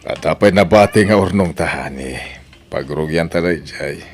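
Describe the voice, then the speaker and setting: Man acting a deep-voiced, doting Ilocano father. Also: moderate pace, music in the background, natural voice. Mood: easygoing. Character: affectionate middle-aged father remarrying, tender to new wife. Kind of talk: Ilocano audio drama acting.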